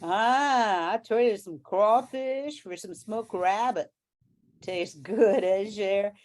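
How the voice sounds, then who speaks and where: A woman's voice: medium pitch, slow, darkish tone, natural voice. A woman playing a motherly, hospitable Cajun bayou cook in an amateur radio play.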